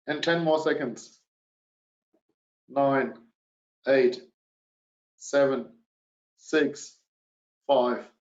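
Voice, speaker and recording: A middle-aged man speaking English with a German accent presents a business webinar, stopping often on filler sounds. His voice sits at an ordinary pitch. The sound is dull and lo-fi, with a roomy echo around it. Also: a little mellow. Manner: hesitant, many fillers.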